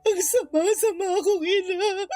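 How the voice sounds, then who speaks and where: A woman's voice: extremely high pitch, moderate pace, crowd noise. An actress playing an ashamed, grieving mother in a radio drama, tearfully confessing and blaming herself as she cries. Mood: tearful shame. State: crying. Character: remorseful impoverished mother, a runaway aunt, ashamed and grieving. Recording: music bed under the voice.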